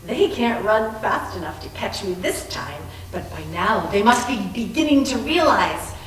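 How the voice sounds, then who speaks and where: A woman's voice: mid-range voice, lively intonation, a little slow, lightly acted. A woman performing a fragile, desperate young woman in a dramatic readers theater piece, earnest and emotive. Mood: defiant elation. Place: large library room, live staged reading. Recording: distant echoey room, noisy live recording.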